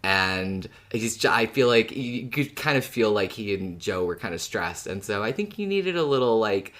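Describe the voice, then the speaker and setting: A man's voice: lowish voice, animated, normal speed, a bit bright. A man co-hosting a podcast, talking in casual, conversational fan chat. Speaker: podcast co-host.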